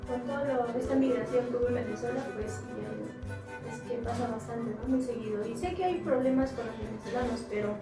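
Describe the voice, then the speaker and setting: A young woman, a student speaking earnestly in a group discussion, pausing on fillers. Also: on the high side. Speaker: psychology student. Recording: distant echoey room with music.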